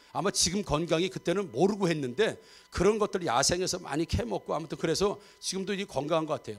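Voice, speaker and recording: High-voiced pastor in his fifties. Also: gravelly. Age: 50s. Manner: chatty, often seeks agreement from the congregation. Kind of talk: sermon with humor and audience asides. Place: church sanctuary.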